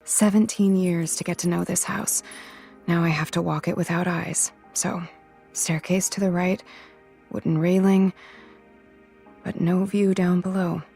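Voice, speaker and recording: A woman's voice: fairly low pitch, steady tone, a little slow, vocal fry, pauses often, warm tone, soft background music. A woman playing a stubborn, newly blind teen girl. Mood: wry. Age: teens. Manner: sarcastic, restless.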